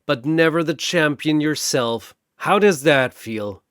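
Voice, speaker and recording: A man's voice: fairly high pitch, slow, a bit bright, smooth, no echo, clean studio sound. A man voicing a predatory mercenary soldier with a mocking, taunting, cruel manner.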